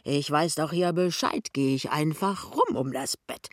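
A woman narrator plays a boisterous, tipsy man in a novel. He is jovial and expansive, a breezy charmer who talks loudly and easily. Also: deep voice, very animated, measured pace, no room sound, clean studio sound.

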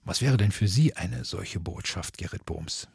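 A man moderating, putting prepared interview questions in a measured, formal way. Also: sits low, expressive, vocal fry, a little mellow, husky, no room sound.

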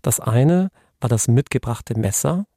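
A man's voice: mid-range voice, lively intonation, measured pace, warm tone, no room sound, studio quality. A man giving explanatory legal commentary in a podcast discussion.

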